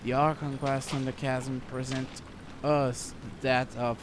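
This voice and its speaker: Slow-talking male game streamer. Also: medium pitch, level tone, background noise. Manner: muttered, repetitive reactions. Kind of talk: casual let's-play commentary. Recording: game music behind voice. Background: video game music.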